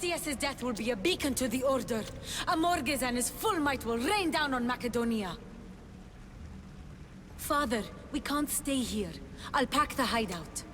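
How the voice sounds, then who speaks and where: High-voiced, resolute young daughter, reverberant. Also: female voice, animated, measured pace, background noise. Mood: urgent resolve. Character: resolute, wounded young daughter of a Persian assassin. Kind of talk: dramatic video game acting. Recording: long reverb under loud cinematic music.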